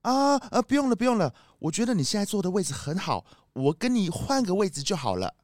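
A woman's voice: mid-range voice, big pitch swings, quick pace, darkish tone, dry recording, studio quality, lightly acted. A woman voicing a shifty, overly polite male fox security guard in a children's detective radio play. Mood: evasive, apologetic. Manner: ingratiating, hesitant.

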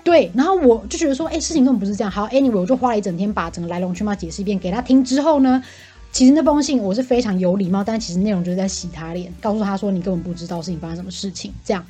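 A woman with a Taiwanese accent venting about work in casual chat, animated and indignant, mixing in English phrases. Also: medium pitch, racing, husky, soft background music.

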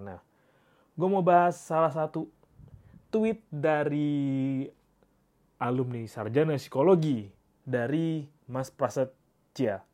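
A man talks in a relaxed, slangy way, like a solo podcast host talking straight to his listeners. His voice is stuffy and congested, as if he has a cold. He reads posts aloud and adds offhand comments of his own. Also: mid-range voice. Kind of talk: casual solo podcast talk.

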